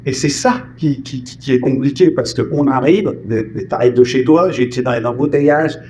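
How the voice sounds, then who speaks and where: A man's voice: medium pitch, moderate pace, darkish tone, slight background noise. A man from France with family roots in Martinique, telling stories about voice dubbing in a casual interview, acting out the lines he quotes. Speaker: voice actor and singer, guest.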